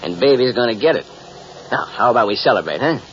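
A man's voice: mid-range voice, lively intonation, quick pace, fairly bright tone, close to an everyday voice. Guy playing a cocky american tough gambler, slangy flirty talk, 40s radio show, muffled with a bit of hiss. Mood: boastful, breezy. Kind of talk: 1940s-50s radio drama acting. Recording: muffled old radio recording, faint noise.

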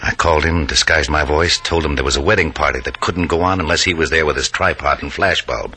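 Very deep, sly radio villain. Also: male voice, fairly quick, sharp tone, heavily acted. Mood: scheming. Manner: sly, menacing. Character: cold, smooth-talking male villain, scheming killer's partner. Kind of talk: 1940s-50s radio crime drama acting. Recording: old radio recording, faint noise.

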